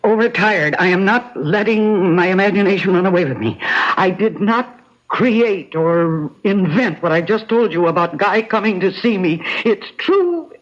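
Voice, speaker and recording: An actress playing a defiant, determined woman in a radio mystery, speaking dramatically with deliberate, emphatic pauses. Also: medium pitch, lively intonation. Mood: defiant, urgent. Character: defiant, determined woman designer convinced of a murder plot. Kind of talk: old-time radio mystery acting. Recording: dull, lo-fi radio drama recording.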